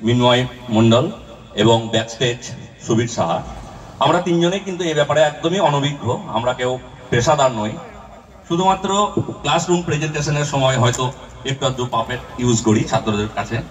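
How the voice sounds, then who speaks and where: A man presents an amateur show from the stage. His voice is picked up at a distance by a stage microphone in a hall. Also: mid-range voice. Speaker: presenter and amateur puppeteer, teacher. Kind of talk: stage introduction.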